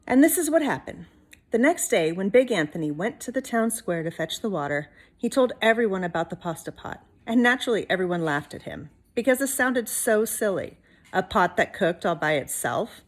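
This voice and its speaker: A woman reading a storybook to children like a teacher, expressively, pausing to put questions to the listeners. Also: mid-range voice, very animated. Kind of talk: storybook read-aloud for children.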